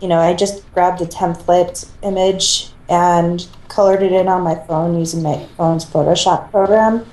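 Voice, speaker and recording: A woman's voice: lowish voice, fairly even intonation, a little slow, hardly any pauses, mellow. A woman talking in a casual, conversational way. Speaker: fan fiction reader. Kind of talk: casual chat. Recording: roomy echo.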